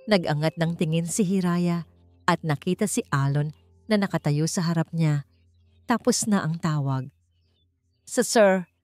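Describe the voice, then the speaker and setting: A woman's voice: low voice, normal speed, a little mellow, no echo, soft background music. A woman narrating a scripted romance story in a dramatic storytelling style.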